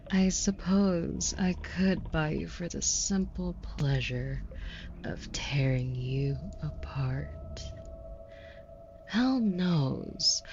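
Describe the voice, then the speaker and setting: A woman's voice: deep voice, a little mellow, highs cut off, slight background noise, heavily acted. A woman playing a haughty, predatory demon buyer in an intimate audio roleplay, taunting with condescending, mock-sweet cruelty.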